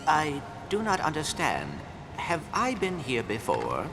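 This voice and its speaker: An innocent, well-mannered little robot from a video game. The speech is formal and earnest, mid-pitched but very lilting, echoing in a large hall with music. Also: male voice, huge pitch swings, unhurried, background noise, full character voice. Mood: curious, earnest. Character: polite, curious, innocent small robot. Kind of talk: video game cutscene acting. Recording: reverberant hall, loud music behind.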